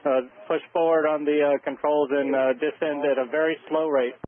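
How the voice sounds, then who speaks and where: A man working as an air traffic controller, giving procedural instructions over aviation radio in a steady way with halting pauses. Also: fairly high pitch, narrow, tinny audio.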